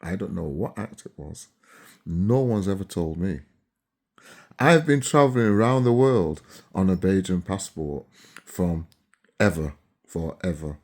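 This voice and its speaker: A man in his seventies, originally from Barbados, talking casually to camera and often checking in with his viewers. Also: low voice. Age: 70s. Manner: chatty, keeps checking in with listeners. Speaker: vlogger.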